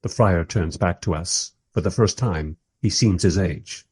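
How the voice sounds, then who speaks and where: Radio drama narrator, male actor: husky, lowish, wry and musing filmmaker telling his own story. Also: a little slow, darkish tone. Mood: wry, reflective.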